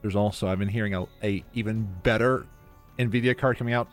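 A man's voice: fairly low pitch, steady tone, a little fast, faint music behind. A man co-hosting a gaming podcast who stammers through restarts and answers chat questions. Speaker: podcast co-host.

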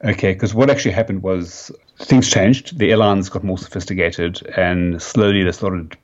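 A South African man in his fifties, a company founder giving a podcast interview, talking haltingly with many fillers and restarts. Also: low voice, steady tone. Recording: dull lo-fi sound.

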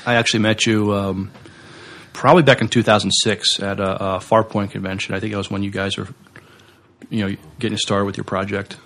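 A man co-hosting a podcast in casual conversation, with halting, hesitant phrasing. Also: on the low side, little pitch movement, fairly bright tone. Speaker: podcast co-host.

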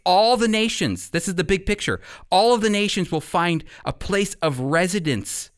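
A man giving an expository Bible teaching as a radio program teacher, speaking emphatically and addressing listeners directly with pauses for emphasis. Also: fairly high pitch, a bit bright.

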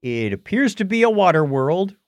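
Animated man hosting a casual podcast. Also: high voice, normal speed, no echo, studio quality. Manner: wry, jokey explainer. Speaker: podcast host. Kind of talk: casual news chat with banter.

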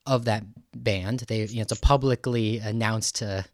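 A man hosting a podcast in a casual, halting, thinking-aloud way as he talks with a guest. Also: on the low side, steady tone, dry recording, studio quality. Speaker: podcast host interviewing a guest. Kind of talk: casual conversational podcast talk.

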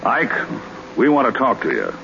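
Gruff American ball club boss, animated. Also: male voice, medium pitch, moderate pace. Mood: probing. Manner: sarcastic, accusing. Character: gruff, stern, suspicious baseball club boss. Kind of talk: 1930s radio drama acting. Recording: muffled, noisy 1930s radio broadcast.

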